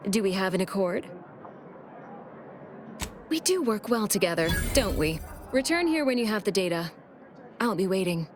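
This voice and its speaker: A woman playing a shrewd, composed dealmaker in a video game, speaking in a measured, formal, businesslike way. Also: mid-range voice, sing-song, over music, close to an everyday voice. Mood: knowing. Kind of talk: scripted video game dialogue.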